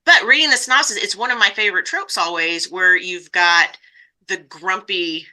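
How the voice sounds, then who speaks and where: Woman interviewer for a podcast, medium pitch, strikingly bright tone, conversational questioning style. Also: moderate pace. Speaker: podcast host.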